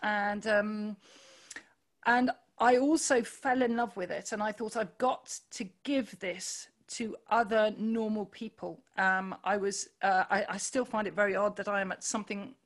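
A woman with a loud voice, talking earnestly in an interview, pausing often with fillers and restarts. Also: medium pitch, steady tone. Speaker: podcast guest, running club founder. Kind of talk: reflective conversational interview.

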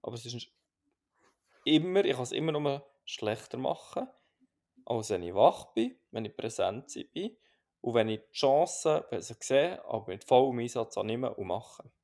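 A man with a Swiss German accent, co-hosting a podcast in a calm, reflective chat with many restarts. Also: mid-range voice, normal speed, gravelly. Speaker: podcast co-host.

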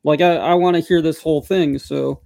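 A man in his forties from the United States West Coast, co-hosting a podcast and telling stories in relaxed casual chat. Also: on the high side, brisk, vocal fry, no room sound. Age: 40s. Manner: relaxed storytelling, agreeable. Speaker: podcast co-host.

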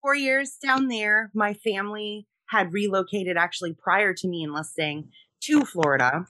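A woman's voice: mid-range voice, normal speed, bright, forceful tone, no room sound. A middle-aged woman from Rhode Island, a military spouse and veteran, chatting as a podcast guest in a friendly way with frequent fillers. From Rhode Island, USA. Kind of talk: conversational podcast interview.

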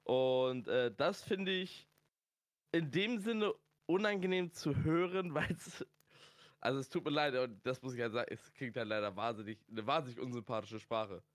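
A man's voice: medium pitch, moderate pace, dry recording. A man chatting casually and telling slangy anecdotes as a podcast guest. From northern Germany. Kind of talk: casual chat.

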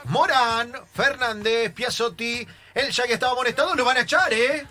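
A man playing an excitable Argentine football commentator, with drawn-out, emphatic, dramatic announcing. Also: extremely high pitch, music in the background. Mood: excited, dramatic. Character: excitable male football TV commentator calling a red card.

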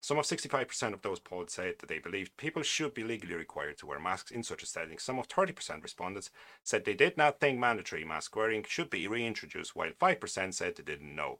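Opinionated man with a bright, forceful voice. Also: deep voice, sing-song, fast. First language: not English. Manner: opinionated, wry, with frequent restarts and asides. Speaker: podcast host. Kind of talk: casual commentary reading a news article aloud.

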